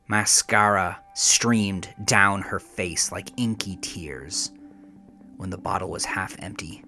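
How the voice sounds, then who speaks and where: A man narrating a dramatic scripted story with an intense, theatrical manner that moves between hushed and forceful. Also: low voice.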